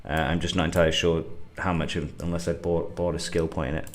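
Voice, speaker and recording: A middle-aged man, getting over a cold and a bit sniffly, talking through a game on a livestream in a halting way, thinking aloud with lots of fillers. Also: extremely low pitch, little pitch movement. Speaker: gaming livestream host. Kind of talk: casual gameplay commentary.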